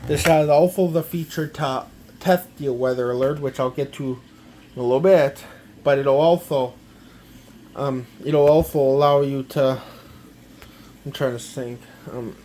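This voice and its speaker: A man gives a casual, halting, step-by-step product walkthrough, often repeating himself. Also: medium pitch, steady tone, mellow, a little hiss or hum. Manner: halting, repetitive, step-by-step explaining. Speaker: amateur gadget demonstrator.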